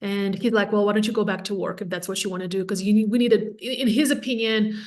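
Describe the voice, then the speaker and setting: A woman's voice: medium pitch, fast. A woman in her thirties from Latvia with a Latvian accent, a real estate agent speaking candidly and energetically as an interview guest. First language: Russian or Latvian. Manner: candid, energetic, self-deprecating. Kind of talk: casual interview chat.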